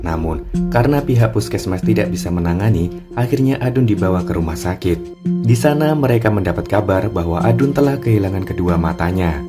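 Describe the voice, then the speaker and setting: A man narrating a cartoon drama in a steady, even way. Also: deep voice, brisk, over music. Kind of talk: scripted storytelling narration.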